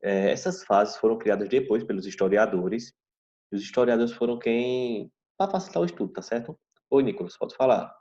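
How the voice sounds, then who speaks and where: A man teaching a history class over a video call, explaining slowly and checking in with "tá certo?" after each point. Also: medium pitch, warm tone. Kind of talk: online class lecture. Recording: dull video call audio.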